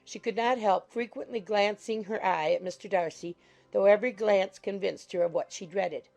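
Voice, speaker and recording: Medium-pitched woman narrating a classic novel. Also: normal speed, gravelly, a little mellow, faint music behind, poor audio. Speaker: audiobook narrator. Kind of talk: scripted literary narration.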